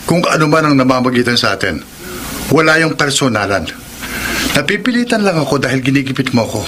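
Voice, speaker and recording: An actor playing an irritable, defensive philandering husband in a Filipino radio drama, curt and dismissive. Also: male voice, mid-range voice, big pitch swings, fairly quick, background noise, natural voice. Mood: cold, scolding.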